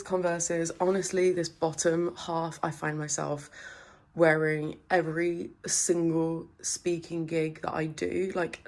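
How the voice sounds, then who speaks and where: Low-voiced chatty woman vlogging. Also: a little mellow. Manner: chatty and upbeat, fillers like "like" and "literally". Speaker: vlogger and public speaker. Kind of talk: casual to-camera vlog chat.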